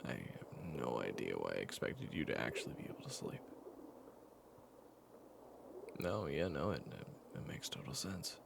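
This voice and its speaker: A man playing a weary, patient caretaker in an intimate audio roleplay, speaking in a hushed, hesitant way. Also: sing-song, brisk, background noise, heavily acted. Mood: weary, sympathetic. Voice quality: very low gravelly voice. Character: weary, patient man caring for an amnesiac companion, retelling their past.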